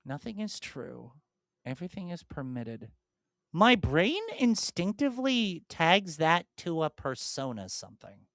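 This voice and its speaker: Animated, slow-talking woman podcast co-host. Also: lowish voice, no echo. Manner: animated, self-deprecating, thinks aloud and repeats clues. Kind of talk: casual chat.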